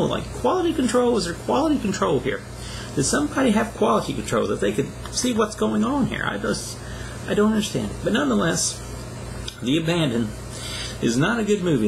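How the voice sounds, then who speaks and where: A man's voice: high voice, creaky, background noise. A man in his thirties giving a casual, rambling, exasperated video review, talking conversationally into a camera indoors. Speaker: film reviewer. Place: small plain room indoors.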